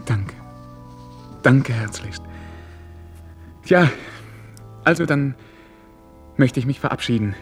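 A man's voice: mid-range voice, expressive, slow, pauses often, soft background music. An actor playing a reserved, lonely young prince in a German radio play, courteous, earnest and hesitant in his stiffly polite speech. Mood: awkward, polite. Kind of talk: mid-century German radio play acting.

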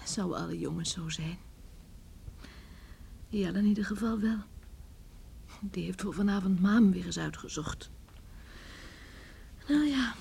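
A young woman acting a spirited, secretive village girl in a Dutch radio drama, with breathy exclamations. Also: mid-range voice, warm tone, exaggerated voice. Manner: breathy exclamations, murmuring to herself, protesting. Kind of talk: Dutch radio drama acting, murmured monologue and heated dialogue. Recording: radio play recording with faint hiss.

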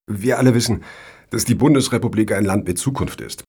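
A man's voice: on the low side, a little fast, mellow, slightly rough. A man playing a formally polite but pointed foundation official in a satirical radio play, with an officious way of talking. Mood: earnest, official.

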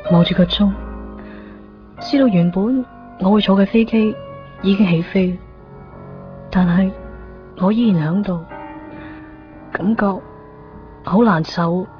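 A woman's voice: mid-range voice, takes it slowly, long pauses, natural voice. An actress playing a distressed, wistful young woman with a Hong Kong accent in a radio drama. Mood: wistful, melancholy. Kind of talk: radio drama acting and narration. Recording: dull lo-fi radio recording under music.

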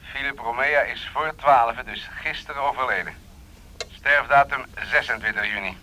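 A man's voice: mid-range voice, normal speed, bright, forceful tone, close to an everyday voice, poor audio. An actor in a Dutch radio play playing a businesslike man reporting case news in a factual, clipped manner.

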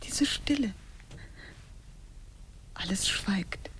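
An actress playing a fearful woman of a space crew in a German radio play, breathy and often whispering. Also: medium pitch, expressive, extremely slow, a bit bright. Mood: frightened, hushed. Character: fearful, anxious female member of space crew.

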